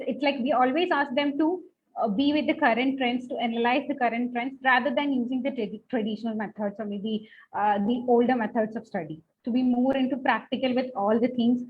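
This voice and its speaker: A woman's voice: a little high, steady tone, a little fast. Panelist, female college teacher, explanatory and conversational, dull recording with faint noise.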